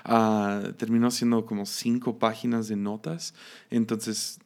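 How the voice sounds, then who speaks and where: Guy with a raspy fry voice doing a bible lesson, lots of ums and restarts. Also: fairly low pitch, level tone, a little slow, a little hiss or hum. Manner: hesitant, many filler sounds and restarts. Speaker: Bible teacher.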